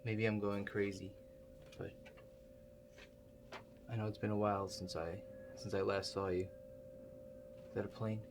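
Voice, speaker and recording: A man doing an ASMR roleplay, talking to the listener in a halting, musing, politely apologetic way. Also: lowish voice. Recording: loud steady hum behind the voice.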